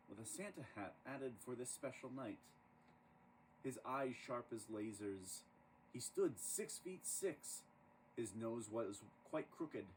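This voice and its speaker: A man reading a rhyming children's story aloud in an expressive, dramatic storytelling manner. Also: medium pitch. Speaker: storybook reader. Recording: distant microphone with clear background noise.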